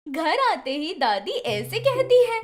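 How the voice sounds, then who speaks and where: Female storyteller for kids' moral tales: high, very animated scripted narration over music. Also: slow.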